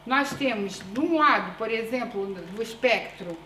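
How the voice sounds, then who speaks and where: A woman researcher with a Brazilian accent tinged by European Portuguese, giving a conference presentation in a deliberate, measured way with frequent hesitations. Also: a little high, animated, pushed and bright, slight background noise. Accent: Brazilian Portuguese with European Portuguese influence. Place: conference room. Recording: echoey room with faint ambience.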